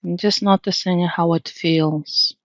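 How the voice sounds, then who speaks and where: A woman leading an unscripted guided meditation in a calm, soothing manner, leaving long pauses between phrases. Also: on the low side, no room sound, muffled recording.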